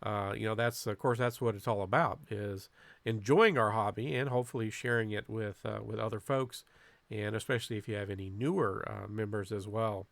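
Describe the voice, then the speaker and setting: A man's voice: fairly low pitch, fairly quick, gravelly, no room sound. A man casually narrating a club event video in a folksy, chatty way, like a host talking viewers through it.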